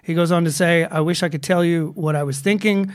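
A man's voice: on the high side, fairly even intonation, brisk. A man co-hosting a casual podcast chat, reading out news stories and rambling with many fillers and restarts. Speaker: podcast co-host.

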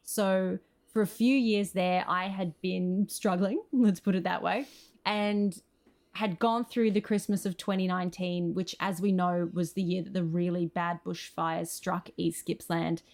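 An Australian woman with a fairly low voice speaks as an interview guest. She is enthusiastic and emphatic, unfolding her anecdotes in a conversational way. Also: steady tone, unhurried, darkish tone. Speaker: screenwriter and actor, interview guest.

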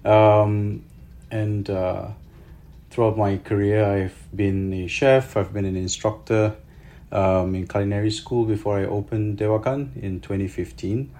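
A man with a Malaysian accent, a chef answering interview questions in an explanatory, halting way with repeated words. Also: on the low side, fairly even intonation, warm tone.

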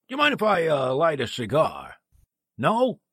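An actor playing a sleazy, fussily polite gossip columnist who talks haltingly, in old-time radio drama style. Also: male voice, a little high, huge pitch swings, noisy background. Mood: oily, courteous. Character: sleazy gossip columnist, oily man, nervously pleading.

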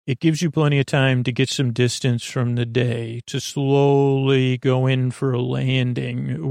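Male sleep-podcast host: gravelly, soft, meandering and halting, very dry close sound. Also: mid-range voice, dry recording. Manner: meandering, halting, circles back and loses his thread; gentle, soft delivery. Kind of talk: rambling, soothing bedtime monologue.

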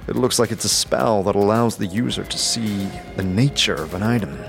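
A man plays an earnest wanderer hungry to learn magic in a fantasy audio drama. He is thoughtful and formal, his voice somewhat low. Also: normal speed, vocal fry, a bit bright, faint music behind. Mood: puzzled.

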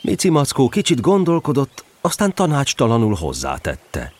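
A man narrating a scripted children's story as an expressive storyteller. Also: medium pitch, slight background noise.

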